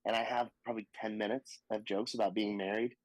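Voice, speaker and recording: A man's voice: lowish voice, level tone, quick pace, no echo, rough recording. A young man and stand-up comedian talking casually on a podcast, laughing often and joking crudely. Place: Austin, Texas.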